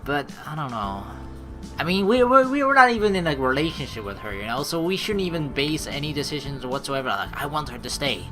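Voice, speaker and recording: Let's play host, lively pitch, rambling asides, reading game text aloud, game music underneath. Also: a little fast. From United States. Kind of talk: reading a visual novel aloud with running commentary.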